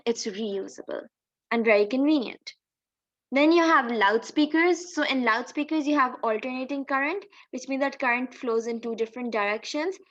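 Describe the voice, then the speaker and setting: A woman's voice: fairly high pitch, fairly bright tone. A woman teaching in an explanatory tutorial style, going step by step and posing questions as she explains. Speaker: physics teacher. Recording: dull lo-fi microphone sound.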